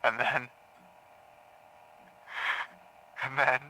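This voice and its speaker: An actor playing a haunted man in an audio drama, confessing in halting, repetitive phrases to a grim crime. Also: medium pitch, flat delivery, pushed and bright, slight background noise, rough recording. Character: haunted man confessing to killing his parents in therapy. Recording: taped therapy session sound.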